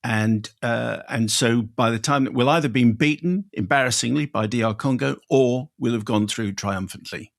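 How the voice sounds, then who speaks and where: Man, co-host, dry, teasing, gravelly. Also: medium pitch, normal speed, hardly any pauses. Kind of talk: casual podcast chat.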